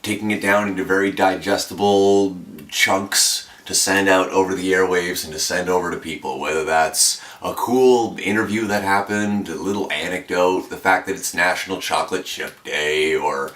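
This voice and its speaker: Deep-voiced young man, echoey room. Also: moderate pace. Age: 20s. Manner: relaxed, reflective, with fillers. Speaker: radio announcer. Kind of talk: documentary interview. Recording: echoey room, mic at a distance.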